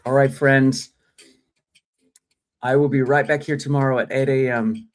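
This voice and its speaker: A man's voice: mid-range voice, little pitch movement, measured pace. A middle-aged man from Australia hosting a live acting stream, chatting casually and reading out viewer comments with many ums and uhs. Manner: chatty, reads viewer comments, frequent fillers. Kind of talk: casual livestream chat. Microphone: phone or desk mic, livestream.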